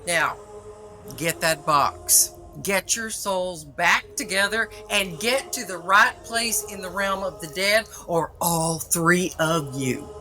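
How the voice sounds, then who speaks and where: Over a clearly noisy ambient bed comes a supernatural mistress of the dead. She takes her time, coaxing in a sugary, mock-friendly way with menace underneath, in audio drama style. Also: on the low side, takes it slowly, pushed and bright. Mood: sternly menacing. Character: sweetly menacing female supernatural overseer of the dead.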